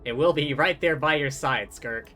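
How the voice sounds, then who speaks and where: A man's voice: on the high side, normal speed, pushed and bright, faint music behind. A man livestreaming a game, giving casual, chatty commentary over it as he plays.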